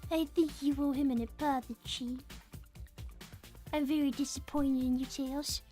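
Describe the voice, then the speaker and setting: A woman plays a young cartoon rabbit girl with an extremely high voice. She is prim, easily offended and scolding, in improvised live roleplay with music underneath. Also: steady tone, unhurried, warm tone. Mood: disappointed, stern. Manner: reproachful, prim.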